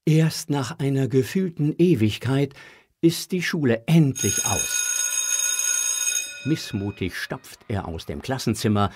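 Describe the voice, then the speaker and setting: A man's voice: mid-range voice, a little mellow. A man narrating a scripted audio drama for children.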